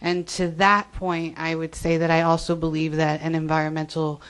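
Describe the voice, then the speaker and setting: Low-voiced woman speaking at a town board meeting. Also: little pitch movement, smooth. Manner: measured, with frequent ums. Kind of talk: public meeting remarks. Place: town hall meeting room.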